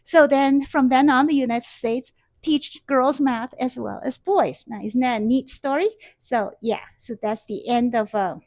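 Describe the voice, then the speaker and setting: High-pitched middle-aged lady teacher rambling through a story, headset mic, dry sound. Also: a little slow, dry recording. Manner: chatty, many fillers and restarts, animated gestures. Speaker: math teacher. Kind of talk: casual storytelling lecture. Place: classroom in front of a whiteboard.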